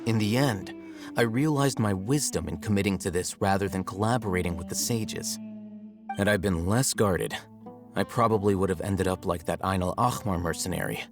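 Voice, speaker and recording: A man playing a cool, calculating young scholar in a video game, articulate, measured and detached. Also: on the low side, over music, natural voice. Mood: detached, explaining.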